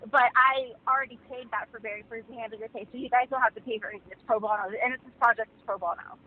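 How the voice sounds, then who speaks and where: A woman's voice: fairly high pitch, steady tone, rapid-fire, a little hiss or hum. A self-assured, boastful woman chatting casually on a muffled, recorded phone call. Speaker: caller offering legal sponsorship.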